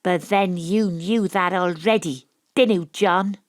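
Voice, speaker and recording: A woman acting in an audio drama as a shrewd, theatrical amateur detective who narrates and probes deliberately. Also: on the low side, no room sound. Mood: accusing. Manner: deliberate, probing, storytelling. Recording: dry, close home-studio recording.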